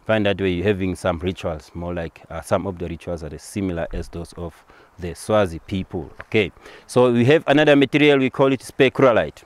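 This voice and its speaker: Low-voiced Southern African man guiding a tour. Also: fairly quick. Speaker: tour guide. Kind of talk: informal guided tour talk.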